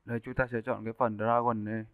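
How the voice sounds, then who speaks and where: A man giving a casual, step-by-step software tutorial as an animation instructor. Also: mid-range voice, little pitch movement, fast, clean tone, dry recording. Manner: informal step-by-step explaining. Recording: muffled narrowband phone-like audio.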